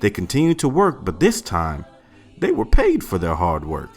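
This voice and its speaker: A man reads a loving father telling his small daughter a family story, slow and expressive. The voice sits low but rises and falls a lot. Soft music plays faintly behind him. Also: heavily acted. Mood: storytelling. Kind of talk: family read-aloud of a picture book. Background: faint added music.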